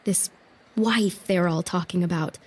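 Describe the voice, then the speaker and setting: Earnest young noblewoman, slightly husky. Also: on the low side, unhurried, darkish tone. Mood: brisk, resolute. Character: determined, restless young noblewoman, earnest and strong-willed. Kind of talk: audio drama acting.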